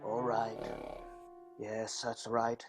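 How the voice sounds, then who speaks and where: An actor playing a gentle strongman in an improvised radio soap, crooning soothingly to animals over music. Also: mid-range voice, completely flat delivery, drawn-out words. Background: loud music.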